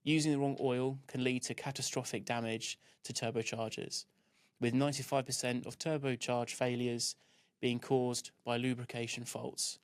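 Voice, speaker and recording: A man reading scripted narration for a training film in a measured, instructional way. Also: medium pitch, flat delivery, clean tone.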